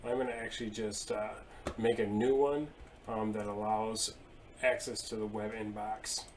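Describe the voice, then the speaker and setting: A man's voice: lowish voice, moderate pace. Guy in his 30s talking thru a screen demo, easygoing, bit of faint hiss behind. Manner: casual, steady step-by-step explaining with fillers. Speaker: IT tutorial presenter. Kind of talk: screen-share software walkthrough. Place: indoor room at a desk.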